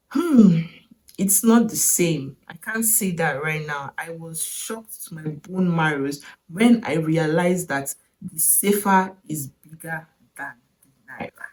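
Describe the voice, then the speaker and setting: Nigerian lady vlogging, kinda low voice, thinking out loud and laughing a bit. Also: rough recording. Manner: chatty, wondering aloud, with short laughs. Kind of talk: casual travel vlog.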